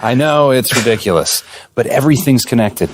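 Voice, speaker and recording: A man playing an earnest, data-minded basketball executive in a video game, speaking in a measured corporate way. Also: mid-range voice, expressive, noisy background, close to an everyday voice. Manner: measured, businesslike, corporate jargon. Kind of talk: conversational video game cutscene acting.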